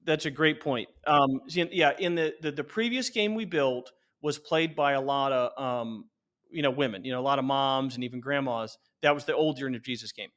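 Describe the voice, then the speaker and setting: A man in his forties speaking as an interview guest, earnestly explaining and pitching in a conversational way, often restarting his sentences. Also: fairly quick, fairly bright tone. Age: 40s. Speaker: interview guest, company founder.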